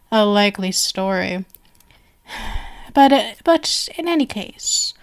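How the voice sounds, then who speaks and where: Female stage magician, played by a woman, ASMR roleplay. Genial surface, sinister underneath; theatrical, unhurried, higher-pitched, whisper-prone. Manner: theatrical, flowery, coaxing, drifting into whispers.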